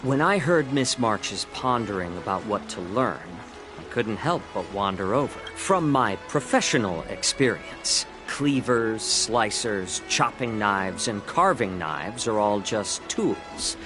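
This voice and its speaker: Suave, lilting gentleman healer. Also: lowish voice, lively intonation, music in the background. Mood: genial lecturing. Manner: polite, eloquent, measured lecturing. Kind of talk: scripted video game voice acting.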